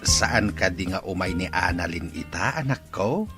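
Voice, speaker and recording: A man's voice: low voice, lively intonation, moderate pace, over music. A man acting an earnest, good-natured male character in an Ilocano audio drama. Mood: teasing, amused.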